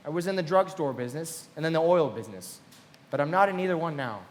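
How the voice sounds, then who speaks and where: A man acting in a live radio play as a wealthy, lovestruck young gentleman who is anxious and boastful, speaking in a genteel theatrical way. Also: on the high side, expressive, quick pace, lightly acted. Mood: proud, genteel.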